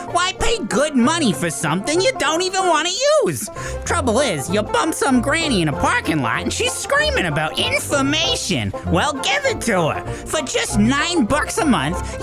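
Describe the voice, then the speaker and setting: A woman acts out a breezy, shameless radio-ad pitchwoman. Her voice is high and swoops wildly through casual sales patter, over loud music. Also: full character voice. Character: breezy, shameless pitchwoman for shady fake insurance. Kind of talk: parody radio advertisement.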